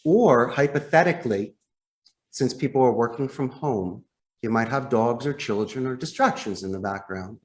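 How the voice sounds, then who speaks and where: A man's voice: medium pitch, lively intonation, moderate pace. A man speaking as a podcast guest and sales trainer, explaining phone technique emphatically and putting rhetorical questions straight to the listener. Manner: emphatic, demonstrative, acts out example phone lines.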